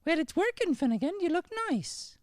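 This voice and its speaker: Man doing a young Irish schoolgirl, high-pitched, forthright, friendly, radio comedy, dry sound. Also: big pitch swings, normal speed, no room sound. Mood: encouraging, lively. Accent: Irish, put on. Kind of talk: improvised old-time radio comedy play.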